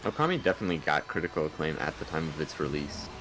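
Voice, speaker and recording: Very deep-voiced quick-talking man. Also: lively intonation. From United States. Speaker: co-commentator. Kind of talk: casual commentary.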